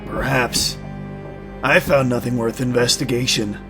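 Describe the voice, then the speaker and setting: A man playing a gruff, world-weary warrior in a fantasy audio drama, blunt and gravelly, with rough colloquial speech. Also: mid-range voice, steady tone, unhurried, music in the background, close to an everyday voice.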